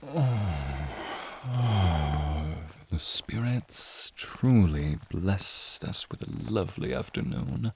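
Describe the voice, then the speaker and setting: A man playing a suave, courtly naga prince in an ASMR fantasy roleplay, speaking softly and breathily straight to the listener. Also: deep voice, sing-song, slight background noise, exaggerated voice. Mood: gallant, courteous. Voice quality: soft, breathy, husky. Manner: teasing, courtly, drawn-out phrasing. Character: flirty serpentine naga prince, suave, protective, wistful beneath.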